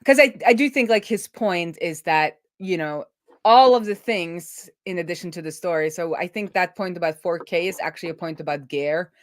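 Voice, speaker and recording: A woman speaks in a slightly low voice with very little room sound. She talks casually, as on a livestream, thinking out loud while she works through things. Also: moderate pace, dry recording, studio quality. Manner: informal, thinks aloud. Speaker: livestream co-host and web developer.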